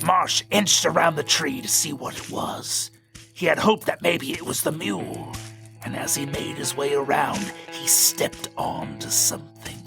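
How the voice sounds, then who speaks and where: A man with a gravelly voice narrating a scripted story as a storyteller. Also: fairly high pitch.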